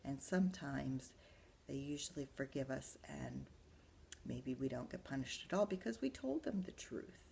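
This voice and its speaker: A woman's voice: rumbling low, animated, normal speed, creaky, warm tone, slight background noise. A woman librarian talking young children through a storybook, explaining and asking them questions as she goes. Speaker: school librarian reading to children. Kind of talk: animated children's storybook read-aloud with asides.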